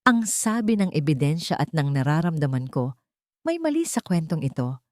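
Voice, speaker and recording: A woman narrator plays a concerned male reporter from a dramatic audio story. The delivery is earnest and measured, gently persuasive. The sound is dry and close. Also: low-pitched, very animated, dry recording.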